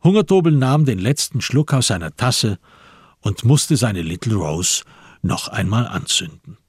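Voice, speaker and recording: A man reading literary narration for a radio play in a measured storytelling manner. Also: fairly low pitch, lively intonation, slightly rough, no echo, studio quality.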